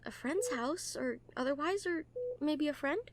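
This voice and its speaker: A caring, gently teasing nurse acted by a woman in an intimate audio drama. The voice sits high. The delivery is conversational and a little hesitant, always earnest and reassuring toward the patient. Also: lively intonation, moderate pace, a little hiss or hum. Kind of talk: roleplay audio acting, soft comfort talk.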